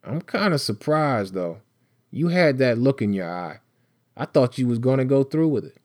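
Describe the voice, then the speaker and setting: Man acting a rough, menacing, sickly street dealer. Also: medium pitch, very animated, moderate pace, darkish tone, dry recording, clean studio sound, pitched above the performer's own voice, close to an everyday voice. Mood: weary, knowing. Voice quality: rough, raspy, wheezy. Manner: blunt, streetwise slang.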